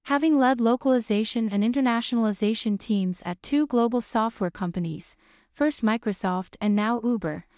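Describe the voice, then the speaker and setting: A woman hosting a podcast, reading a scripted introduction and asking interview questions in a clear, even way. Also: fairly high pitch, dry recording, clean studio sound. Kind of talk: scripted narration.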